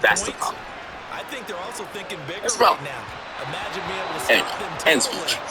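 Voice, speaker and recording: A man's voice: a little high, very animated, measured pace, crowd noise. A man from Jamaica ranting about politics in a blunt, profane way, often stammering and restarting his sentences. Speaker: streamer. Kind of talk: casual political rant.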